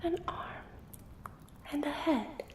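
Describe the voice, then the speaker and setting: A woman's voice: sits high, takes it slowly, darkish tone, echoey room. A woman voicing a playful, teasing ghost who poses riddles in an ASMR roleplay, repeating words over and over in a coaxing way. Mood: mysterious, coaxing. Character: playful, teasing female ghost guarding a secret passage with riddles.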